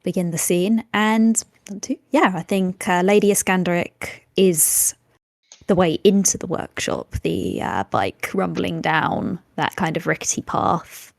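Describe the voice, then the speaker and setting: A woman playing a tabletop game, describing a scene off the cuff in a thoughtful, halting way. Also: on the low side, lively intonation, vocal fry, no echo, studio quality.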